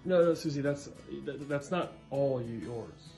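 A woman's voice: extremely low pitch, a little slow, dark tone, pitched below the performer's own voice. A woman playing a flustered adult who keeps scolding a child and trying to keep her in line. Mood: pleading. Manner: scolding, exasperated, repeats the child's name. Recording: music playing behind.

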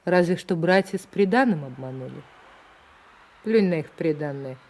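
A woman's voice: fairly low pitch, expressive, normal speed, darkish tone. An actress playing a chatty older village woman in a radio drama, folksy and emphatic, by turns scolding and motherly. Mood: knowing, confiding.

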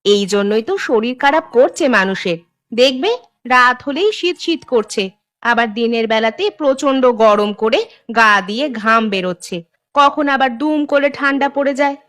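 A woman playing a caring, practical village housewife in a cartoon, chatty and expressive. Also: high voice, normal speed. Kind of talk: Bengali cartoon voice acting.

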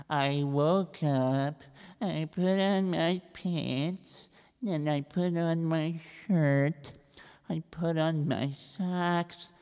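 Man putting on a dazed bewitched guy, mumbling, quiet, very slow, long hall reverb. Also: fairly high pitch, smooth. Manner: mumbling, slow, repetitive, hesitant. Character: dazed bewitched man, repeating his morning routine.

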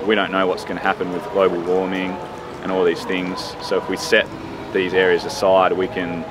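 Young Australian male researcher, low flat delivery, relaxed to-camera chat outdoors, audible background noise. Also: moderate pace. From Western Australia. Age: young adult. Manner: relaxed, loose, with frequent fillers. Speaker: PhD researcher. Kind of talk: casual interview to camera. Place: outdoors on a sandy riverside beach.

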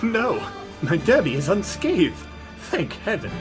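A woman voicing a pompous, dandyish male Western lawman, theatrical and husky, in a cartoon comic dub. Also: mid-range voice, very animated, slow, over music. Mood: haughty.

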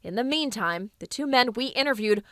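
Bright-voiced woman news reporter. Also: on the high side, lively intonation. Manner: measured, clear delivery. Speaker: investigative reporter. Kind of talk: scripted news narration.

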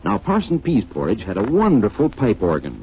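Low-voiced, expressive male storyteller. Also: loud music behind the voice. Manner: measured, expressive storybook delivery. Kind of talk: scripted children's story narration, 1940s. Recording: muffled 1940s record sound.

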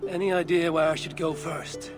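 Actress as a low-voiced, resolute warrior. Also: female voice, steady tone, moderate pace, music in the background, natural voice. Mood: concerned, resolute. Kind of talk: dramatic video game cutscene acting.

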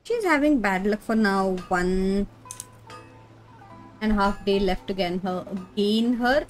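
A woman's voice: mid-range voice, slow, rough recording. An Indian woman chatting casually on a gaming livestream, switching between Hindi and English. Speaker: game streamer. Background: game music.

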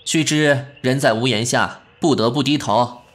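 An actor playing a proud, sharp-tongued but caring young man in an ancient-costume audio drama, huffy and scolding. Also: medium pitch, moderate pace, faint music behind, natural voice. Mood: huffy concern. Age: young adult.

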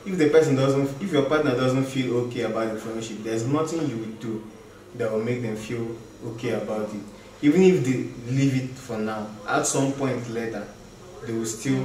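Young man, measured talker, roomy echo, far-off mic. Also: medium pitch, warm tone. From Nigeria. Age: young adult. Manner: measured, thoughtful explaining. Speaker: guest. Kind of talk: casual group discussion. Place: living room, seated on a couch. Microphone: far camera.

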